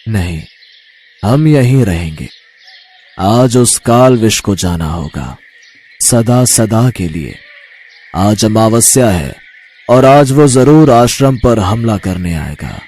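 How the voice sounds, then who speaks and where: A man playing an elderly guru in a storybook tale, calm, fatherly and brave, speaking in a measured, gently commanding way. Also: fairly low pitch, full character voice. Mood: grave, commanding. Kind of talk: animated storybook character acting.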